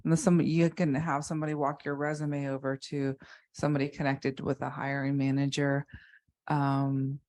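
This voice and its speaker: A woman on a conference panel speaking about how her foundation's board raises funds, in a halting way with many restarts. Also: a bass voice, level tone, a little mellow. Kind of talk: unscripted panel discussion.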